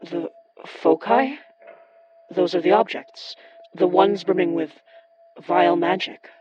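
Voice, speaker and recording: A man playing a determined, guarded occult investigator in a horror audio drama, with a measured, probing delivery. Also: high-pitched, dull audio, crowd noise. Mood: curious, intent. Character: determined, guarded young occult investigator who summons spirits.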